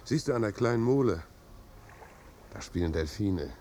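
A man's voice: fairly low pitch, lively intonation, normal speed, mellow, slight background noise, close to an everyday voice. An actor playing a shy, melancholy village-born man in a 1950s German radio drama, subdued and hesitant. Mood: wistful.

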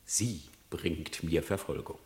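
An actor playing a sly, flattering fox who feigns piety and innocence, in a theatrical, wheedling verse radio play. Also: male voice, a bass voice, slow pace. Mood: humble flattery. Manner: theatrical, wheedling, mock-pious.

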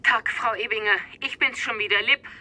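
Urgent female caller, radio drama: piercingly bright, higher register, agitated, through a phone. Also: steady tone, normal speed. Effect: telephone.

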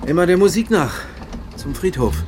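An actor playing a wry, offhand taxi passenger in a radio play. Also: male voice, on the high side, sing-song, moderate pace, background noise.

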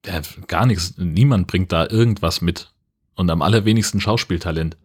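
Male podcast co-host, slightly low voice, conversational film critique, halting as he reasons. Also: husky. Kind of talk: casual film-review chat.

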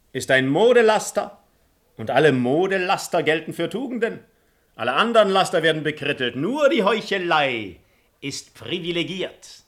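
A man's voice: fairly high pitch, a bit bright. An actor playing a cynical, hypocritical young nobleman in a classical radio drama, articulate and calculated in his declamation. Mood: cynical relish. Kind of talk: classical stage-style radio drama acting.

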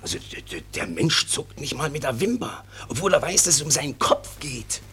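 An actor playing a self-important, sly police sergeant in a German radio play, officious and wheedling, with a husky, gravelly voice. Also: male voice, fairly high pitch, sing-song, moderate pace, sharp tone, slight background noise. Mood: smug, muttering.

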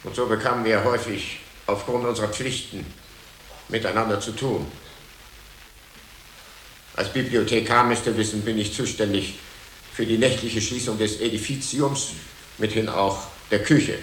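A formal medieval monk librarian played by a man speaks in a roomy, echoing space with faint noise behind. His testimony is measured and guardedly humble, as in a radio play. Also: lowish voice, steady tone, a bit bright, lightly acted. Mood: measured, careful. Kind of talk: German radio drama acting.

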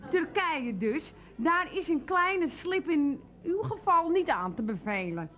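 A woman's voice: high voice, very animated, slow pace, fairly bright tone, full character voice. An actress playing an overeager, intrusive saleswoman, gushing and formally polite as she over-explains in a comic radio play. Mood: insistent, advising. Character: overeager, intrusive, chatty department-store saleswoman.

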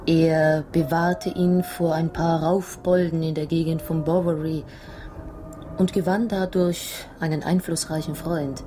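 An actress in a radio drama playing a young Italian wife who tells her past in a halting, dramatic, emotional way. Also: deep voice, flat delivery, dark tone, slight background noise. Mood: wistful, earnest.

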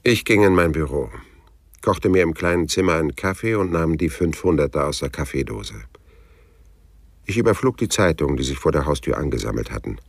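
Very deep, husky hard-boiled detective. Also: male voice, expressive, fairly quick, heavily acted. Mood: brooding narration. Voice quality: husky, rough. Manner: dry, sardonic, laconic. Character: cynical, stubborn, hard-boiled male private detective, world-weary. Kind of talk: radio crime drama with first-person narration.

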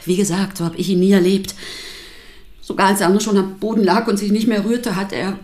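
An actress playing a defensive wife in a radio drama, with speech, articulate and given to dramatic pauses. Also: female voice, medium pitch, fairly even intonation, a little mellow, lightly acted. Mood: grave, uneasy. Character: defensive wife recounting her husband's violent confession.

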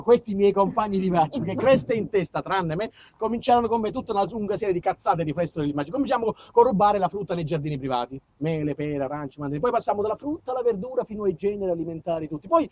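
A man's voice: high-pitched, expressive, quick pace, slightly rough, highs cut off. A man delivering a theatrical storytelling monologue, emphatic and declamatory, repeating phrases for effect. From southern Italy. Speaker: actor-storyteller.

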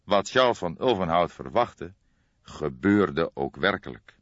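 A man reading a detective audiobook as narrator, in measured, dramatic storytelling. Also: sits low, lively intonation, fairly bright tone. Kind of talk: scripted narration of a detective novel. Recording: boxy, echoey, dull recording.

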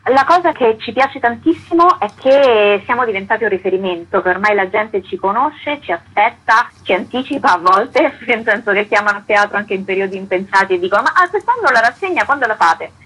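A woman's voice: medium pitch, expressive, fairly quick, hardly any pauses, sharp tone, breathy edge, rough recording. A woman answering conversationally as an artistic director being interviewed on the radio. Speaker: theatre festival artistic director, interview guest.

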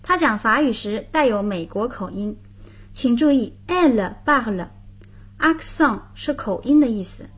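A woman's voice: a little high, expressive, slow, husky. A woman hosting a radio language lesson, patiently explaining grammar and prompting her partner.

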